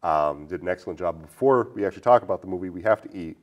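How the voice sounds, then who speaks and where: A man's voice: deep voice, lively intonation, racing, highs cut off. A man hosting a casual movie discussion in a playful, bantering manner. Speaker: podcast host.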